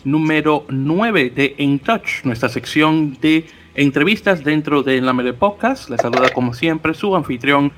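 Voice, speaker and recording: A Dominican man with a fairly high voice hosts a podcast interview. He speaks formally and warmly welcomes his guest, now and then stumbling and restarting. Each question comes in a steady, polite manner. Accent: Dominican Spanish. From Dominican Republic. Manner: formal, welcoming, slightly halting. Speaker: podcast host.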